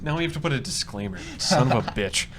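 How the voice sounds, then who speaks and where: A man's voice: mid-range voice, expressive, quick pace. Casual joking man with noise behind him. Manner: acts out imagined dialogue jokingly, profane. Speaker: podcast co-host. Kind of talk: casual banter, joking chat. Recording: noticeable background noise, room ambience.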